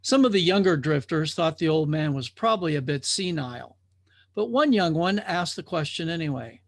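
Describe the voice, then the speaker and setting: A man narrating a scripted parable as a storyteller, with measured, deliberate phrasing. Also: a little high, lively intonation, dry recording, studio quality.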